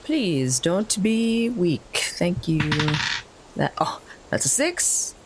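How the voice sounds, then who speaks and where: A tabletop game player talks in casual table chat with a very animated, swinging pitch. The recording is clearly noisy, with clicks. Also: slow pace.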